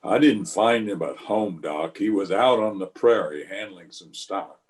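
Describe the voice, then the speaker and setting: Man as frontier marshal, lowish voice, calm, laconic, measured, plain-spoken, old-time radio western acting. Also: natural voice. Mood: matter-of-fact.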